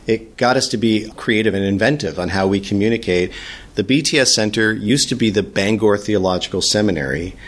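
A man speaking as an interview guest, telling his story in an earnest, flowing way. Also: lowish voice. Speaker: guest, podcast producer. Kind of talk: conversational interview answers.

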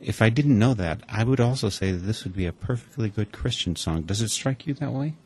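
A radio host with a very low, deep voice asks his guest questions in an unhurried, curious way. He often draws out his phrasing as he talks about music. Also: expressive, darkish tone, husky, no room sound. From Wisconsin.

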